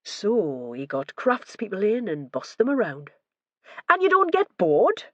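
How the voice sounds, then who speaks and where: A woman doing a blunt, gruff police inspector. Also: medium pitch, wildly swooping pitch, unhurried, fairly bright tone, no room sound, muffled recording, pitched above the performer's own voice, full character voice. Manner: blunt, forthright.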